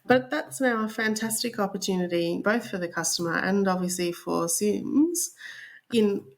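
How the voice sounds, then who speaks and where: An Australian woman speaking as a podcast guest, her mid-pitched voice carrying a gravelly, fry-laden texture. She explains in a relaxed, conversational way. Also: moderate pace.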